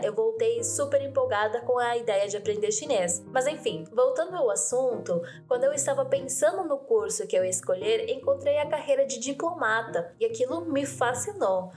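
A young woman from the Brazil-Uruguay border, who grew up with Portuguese and Spanish, tells her life story in a reflective, measured way. Her voice sits a little high for a woman. A faint music bed runs under her. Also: a little mellow. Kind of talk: personal storytelling.

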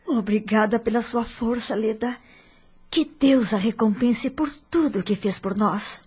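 A woman's voice: on the high side, unhurried, a little mellow, breathy edge. An actress playing a hurt, dignified middle-aged wife and mother in a radio soap opera, with earnest, formal diction. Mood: grateful, reserved.

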